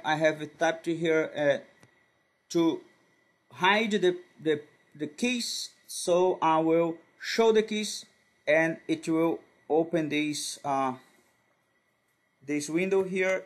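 A man whose first language is Portuguese, talking slowly and haltingly with many fillers and restarts as he walks through a screen-recorded software tutorial. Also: fairly high pitch. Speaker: tutorial presenter.

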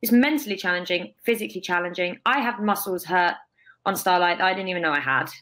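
A young woman, a guest telling emphatic, expressive stories over a video call from a bright room. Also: fairly low pitch, fast. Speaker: guest, stage performer. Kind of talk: casual interview.

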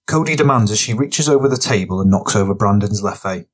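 A man with a fairly low voice narrates fiction. It is scripted audiobook storytelling, read as the narrator. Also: lively intonation, fairly quick.

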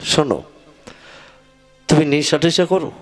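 A man's voice: a little high, measured pace, lightly acted. A man voicing an exasperated quack dentist in a comic puppet show, exclaiming incredulously. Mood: baffled, scolding. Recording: loud music behind the voice.

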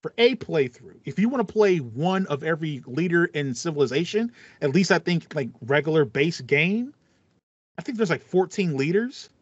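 A man's voice: fairly high pitch, expressive, moderate pace, slightly rough, no echo. A man in his thirties chatting casually and enthusiastically as a podcast co-host, explaining things in a rambling way. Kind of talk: casual chat about video game music. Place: small home room with foam panels, indoors. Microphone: headset on a video call. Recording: slightly muffled, dry online call audio.

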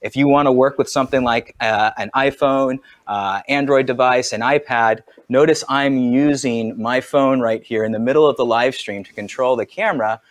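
A man in his forties giving an energetic, conversational product demonstration from a home studio over a video call. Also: mid-range voice, moderate pace, few pauses. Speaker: camera company trainer.

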